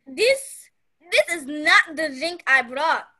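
A woman's voice: sits high, lively intonation, slow pace, bright, forceful tone, exaggerated voice. A woman playing a haughty, dramatic wealthy lady in a mystery radio play, with an imperious, theatrical delivery. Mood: indignant. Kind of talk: stage-style mystery radio play acting.

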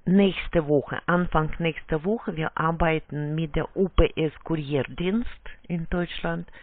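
A man working as a call centre sales agent, taking an order with halting, non-native phrasing. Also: on the high side, steady tone. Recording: tinny, band-limited phone line.

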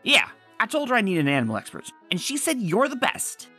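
A woman voicing a bold, confident female adventurer pony in a comic dub, talking briskly and commandingly. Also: mid-range voice, huge pitch swings, bright, forceful tone, faint music behind. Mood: eager.

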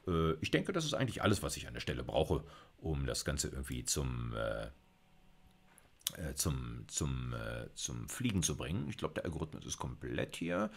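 A middle-aged man giving an informal computer science lecture, thinking aloud as he codes live. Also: rumbling low. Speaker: university lecturer. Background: computer keyboard typing.

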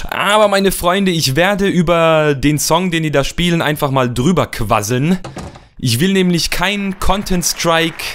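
A young man with a slightly rough, cold-worn voice, chatting playfully and rambling as he narrates his gameplay. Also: a little high, measured pace, a bit bright. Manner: playful, rambling, repeats words for fun. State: hoarse from a cold. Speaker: let's play host. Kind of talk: casual gameplay commentary.

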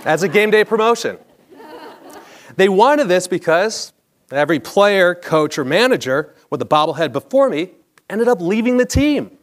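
Animated American man telling a personal story. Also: high voice, normal speed, a faint crowd. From Chicago area, United States. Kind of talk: scripted personal narration read on stage. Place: theatre stage before a live audience. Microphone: clip-on lapel mic.

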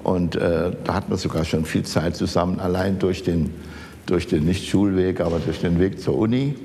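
An elderly man from the Saarland in Germany reminiscing in conversation, haltingly searching for words. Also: fairly even intonation, warm tone. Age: older, 70s or 80s. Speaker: architect interviewed as an eyewitness. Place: large office archive room, indoors. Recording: echoey room with rumbling background noise.

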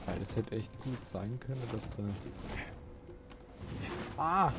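A man's voice: medium pitch, expressive, slow, noisy background. A man giving casual let's play commentary, thinking aloud and muttering questions to himself.

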